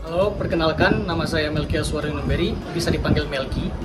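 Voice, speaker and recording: A man's voice: fairly high pitch, fast, rough recording. A young man from Papua, an incoming university student, answering interview questions politely and formally. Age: young adult. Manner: polite, formal, soft-spoken. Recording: loud music bed under voice.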